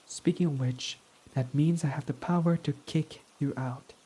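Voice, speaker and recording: A man's voice: fairly high pitch, fairly even intonation, normal speed, dark tone, breathy edge. A man voicing a prickly, snarky male villain in an ASMR roleplay, talking in a sarcastic, mocking, dismissive way. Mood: irritated.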